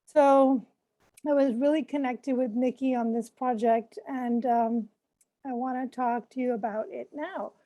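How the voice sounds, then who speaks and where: A woman who grew up in Pakistan, an artist and mentor, presenting her work in a halting way with many fillers. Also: a little high, steady tone, mellow.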